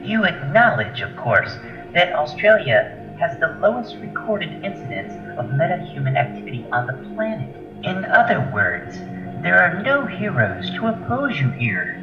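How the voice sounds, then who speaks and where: An actor playing a proud, analytical alien scientist with formal, precise diction in an audio drama. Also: male voice, on the high side, wildly swooping pitch, normal speed. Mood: cool, probing. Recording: dull echoey lo-fi audio, music.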